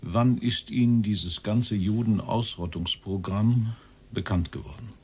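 An actor playing a stern, probing post-war interrogator asking direct questions in a radio play. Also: male voice, on the low side, a little slow, a little mellow, slightly rough, full character voice.

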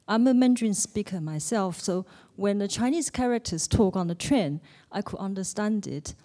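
A woman's voice: medium pitch, warm tone. A woman in a cinema audience asking a careful, polite question into a roving mic. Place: cinema auditorium. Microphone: roving handheld mic.

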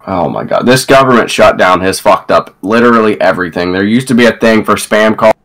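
A man's voice: lowish voice, animated, a little fast, crowd noise. A man in a heated phone argument, exasperated, profane and emphatic.

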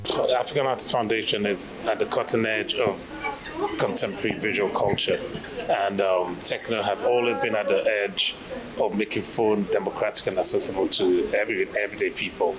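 A middle-aged man speaks in an interview in a fairly low voice. A busy crowd murmurs behind him at an indoor event. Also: fairly even intonation, brisk, low-quality recording. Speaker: arts foundation director. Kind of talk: interview soundbite. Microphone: handheld reporter microphone.

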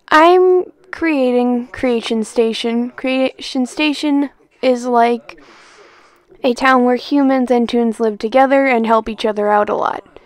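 A woman's voice: a little high, no room sound. A young woman giving a casual, unscripted announcement in a rambling, hesitant and self-deprecating way, as the creator of an amateur project.

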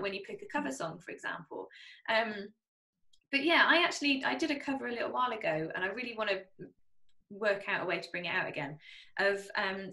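A woman's voice: mid-range voice, pushed and bright. Chatty young woman host, roomy sound. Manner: chatty, many ums, seeks agreement. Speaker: podcast host. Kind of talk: casual interview chat. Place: small home studio. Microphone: studio condenser mic. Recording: roomy echo.

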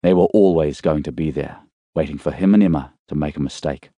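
Deep, mellow male audiobook narrator. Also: a little fast, no echo. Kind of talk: scripted narration of a thriller novel.

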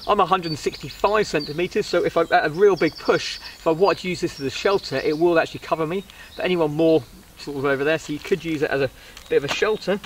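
High-voiced man rambling casually outdoors. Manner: rambling, informal, hesitant. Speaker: vlogger reviewing budget camping gear. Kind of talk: casual product review to camera. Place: outdoors on a wooden riverside deck. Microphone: camera a couple of metres away. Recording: outdoor recording, distant mic, background noise.

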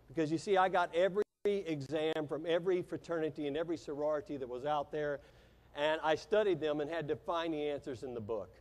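High-voiced American man speaking in an echoey hall. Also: darkish tone. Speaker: motivational business speaker. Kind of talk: keynote speech with personal storytelling. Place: large convention hall stage. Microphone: lapel mic. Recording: echoey hall with long reverb.

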